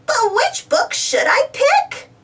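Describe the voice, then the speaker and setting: A woman voicing an excited little girl dinosaur for a storybook, squealing and eager. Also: extremely high pitch, takes it slowly, far from the mic, pitched above the performer's own voice, heavily acted. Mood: wistful.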